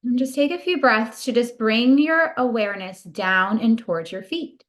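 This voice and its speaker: A woman leading a guided body scan meditation in a soothing, gentle, unhurried manner. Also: a little high, animated.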